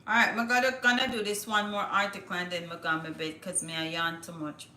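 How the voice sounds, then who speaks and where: A woman hosts a relaxed livestream, chatting and thinking out loud as she reads news and looks things up. Her voice is bright and forward, and the room around her gives it a noticeable echo. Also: on the low side, brisk, slight background noise. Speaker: livestream host. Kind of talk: casual livestream chat, reading news aloud.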